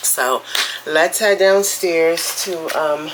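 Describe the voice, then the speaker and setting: A woman's voice: low-pitched, background noise. Chatty congested woman vlogging her morning. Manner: chatty, rambling, drawn-out storytelling. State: congested with a cold. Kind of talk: casual morning vlog chat. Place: home kitchen, cooking.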